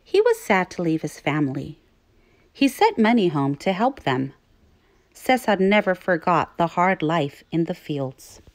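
A woman teacher reading aloud to young children, phrasing things clearly and putting questions to her listeners. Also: fairly low pitch, big pitch swings, unhurried, dry recording. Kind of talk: children's read-aloud narration.

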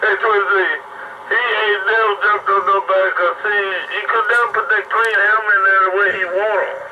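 A man's voice: high voice, steady tone, a little fast, distant mic. A man chatting casually and at length over CB radio, rambling and repeating himself, heard through the radio's speaker. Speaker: CB radio operator. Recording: muffled, very noisy CB radio reception. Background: loud radio noise.

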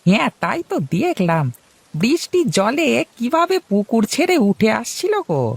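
A woman's voice: a little high, very animated, moderate pace, creaky, breathy edge, dry recording, heavily acted. A woman voicing a cheerful, eager young village husband and dutiful son in a cartoon, chatty and full of exclamations. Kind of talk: cartoon voice acting.

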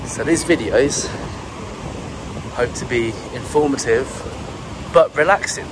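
Monotone British man walking a beach. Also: mid-range voice, slow. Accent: British English. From England. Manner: monotone, relaxed musing. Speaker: travel vlogger. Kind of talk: walking vlog commentary. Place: outdoors on a sunny beach. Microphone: handheld camera carried while walking. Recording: clear wind and surf noise. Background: ocean waves and breeze.

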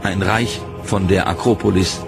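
A man narrating a history documentary in a measured, solemn scripted voice-over. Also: sits low, fairly even intonation, creaky. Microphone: studio voice-over. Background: loud dramatic music.

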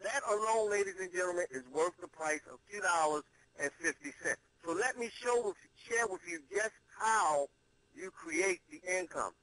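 A man giving a promotional conference-call sales pitch, emphatic and salesman-like, with deliberate pauses for effect. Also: lively intonation, sharp tone, no echo, rough recording. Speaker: presenter pitching an online money-making program.

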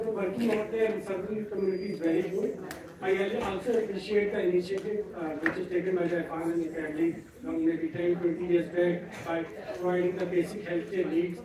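A polite man with a high voice speaks formally to an event audience. He sounds distant in a very echoey hall, and a crowd murmurs behind him. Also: little pitch movement, brisk. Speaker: regional pharmacist. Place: large hall at a multicultural event.

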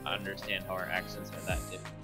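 Young man, teasing, bright forceful voice, gaming voice chat, faint music behind. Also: deep voice, monotone, quick pace. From Midwest United States. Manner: teasing, laughing. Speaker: gamer on voice chat. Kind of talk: casual chat while gaming.

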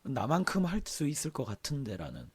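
A man with a hoarse, sore-throated voice, chatting casually on a livestream as he reads aloud and comments offhandedly. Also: mid-range voice, huge pitch swings, fairly quick. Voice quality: hoarse, husky. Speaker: game streamer.

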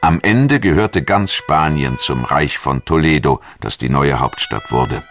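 A man narrating a scripted history documentary in a measured, articulate storytelling manner. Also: very deep voice, expressive, fairly bright tone.

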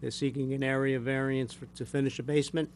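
An older man speaking as a board chair, courteous and measured as he puts questions and handles formal procedure at a public meeting. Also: medium pitch, flat delivery. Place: municipal meeting room. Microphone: gooseneck desk microphone. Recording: faint background hum.

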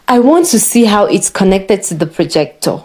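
A woman storyteller voicing a sharp-minded young female student, calm and probing. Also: mid-range voice, normal speed, close to an everyday voice. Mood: sweet, curious.

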